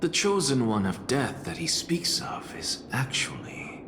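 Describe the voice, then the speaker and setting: An actor playing a self-assured, analytical scholar in a video game, musing in a deliberate, theatrical way. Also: male voice, mid-range voice, very animated. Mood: intrigued, confident. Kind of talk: dramatic video game voice acting. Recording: very echoey hall with clear noise.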